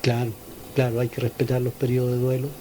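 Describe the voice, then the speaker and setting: A man giving an informal spoken account of a personal experience as an interviewee, halting and repeating his words. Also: medium pitch, completely flat delivery, over a noisy crowd. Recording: noisy ambient field interview recording.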